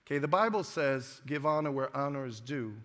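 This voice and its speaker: A man's voice: mid-range voice, expressive, a little fast, a bit bright. A man, a pastor, introducing guest speakers to his congregation in a conversational preaching manner. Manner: conversational, calls for congregation response. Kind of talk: sermon introduction. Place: church sanctuary. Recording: echoey church hall.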